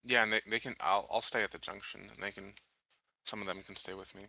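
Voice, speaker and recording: A man's voice: fairly low pitch, steady tone, fast, vocal fry, pushed and bright, no room sound, rough recording. A man playing a tabletop game, talking casually and hesitantly, often repeating his words.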